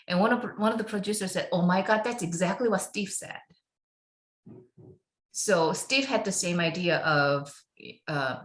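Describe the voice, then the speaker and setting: A woman from Korea, a film composer, talking through her story in an unhurried podcast interview, with fillers and frequent laughs. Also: fairly low pitch, a bit bright, echoey room. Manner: unhurried, chatty, laughs often, many fillers.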